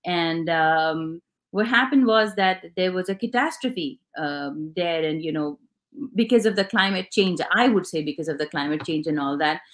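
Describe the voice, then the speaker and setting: A woman's voice: lowish voice, moderate pace. A woman with a Pakistani accent, a fashion designer speaking as an interview guest, hesitant with many fillers and restarts. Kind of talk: casual interview talk.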